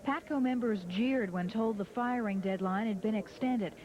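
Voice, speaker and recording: A woman's voice: a little high, normal speed, muffled recording, a crowd in the background. A woman with an American accent narrating a scripted TV field report. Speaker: TV news reporter. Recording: clear background noise, archival TV audio.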